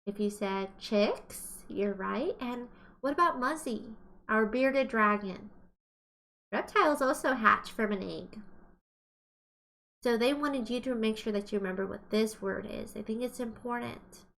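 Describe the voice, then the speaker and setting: A woman's voice: fairly high pitch, a little slow, vocal fry, a bit bright. A woman teacher reading aloud to young children, explaining as she goes and asking questions in an exaggerated, encouraging way. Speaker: kindergarten teacher.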